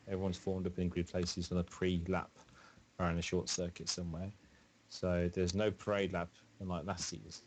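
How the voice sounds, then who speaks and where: Deep-voiced man on a voice call. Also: slight background noise. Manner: explains rules and gives tips. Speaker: guest on call. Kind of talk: casual chat over a voice call.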